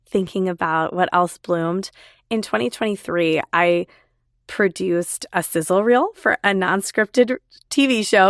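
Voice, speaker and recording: An enthusiastic woman hosts her own podcast, talking chattily straight to her listeners. Her voice is a little raspy from a lingering cold. The sound is close and dry, with no room around it. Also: mid-range voice, no room sound. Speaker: podcast host. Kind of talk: solo podcast monologue.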